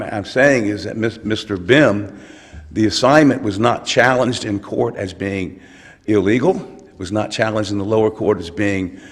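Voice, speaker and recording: An older male attorney, hoarse from a cold, arguing an appeal in a courtroom with frequent halting restarts. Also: on the low side. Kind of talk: appellate oral argument. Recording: long courtroom reverb.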